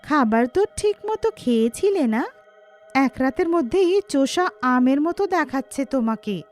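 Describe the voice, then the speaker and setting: A woman voicing a sharp-tongued, grumbling village wife in a cartoon, dramatic in manner. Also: sits high, expressive, normal speed, soft background music, pitched above the performer's own voice. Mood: sarcastic scolding. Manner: scolding, dramatic. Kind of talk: cartoon character acting.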